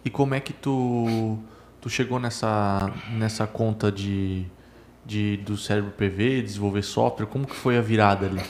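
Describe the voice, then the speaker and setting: A man co-hosting a podcast, asking relaxed, informal follow-up questions. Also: lowish voice, normal speed, a little hiss or hum. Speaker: podcast co-host. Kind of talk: casual podcast interview.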